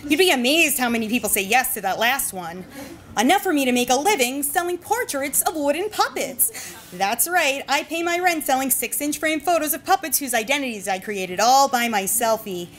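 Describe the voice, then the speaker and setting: A woman giving a live comic reading of humorous personal essays at a bar, in a dry, deliberate delivery. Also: sits high, animated, fairly bright tone. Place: bar reading event before an audience.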